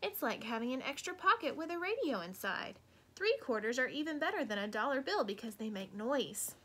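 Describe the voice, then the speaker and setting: A woman's voice: sits high, very animated, brisk, fairly bright tone, husky, heavily acted. A woman voicing an earnest, dramatic little schoolgirl in a storybook, with an emphatic, gushing manner. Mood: showing off.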